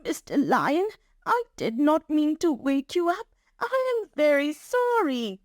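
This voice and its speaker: A woman's voice: very high-pitched, big pitch swings, takes it slowly, no room sound, clean studio sound, pitched above the performer's own voice, exaggerated voice. A woman voicing a tiny, timid, polite mouse who is eager to help, in a storybook reading. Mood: frightened, pleading.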